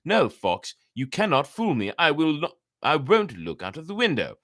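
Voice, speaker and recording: A man voicing a shrill, defiant little rooster in a fairy tale reading. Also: wildly swooping pitch, normal speed, fairly bright tone, heavily acted.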